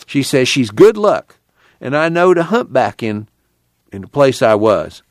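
A man's voice: mid-range voice, sing-song, measured pace, dry recording, studio quality, voice pushed up. A man voicing a brash, impatient young working man with folksy, blunt, profane rural speech in an audiobook. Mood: blunt, needling. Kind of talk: acted audiobook dialogue.

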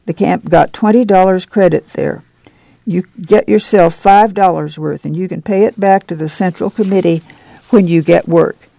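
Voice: medium pitch, fairly even intonation, moderate pace, gravelly, mellow, dry recording, rough recording. A woman voicing a stern, bossy country committee chairwoman who scolds in commanding, folksy rural dialect in a story reading. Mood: firm, scolding.

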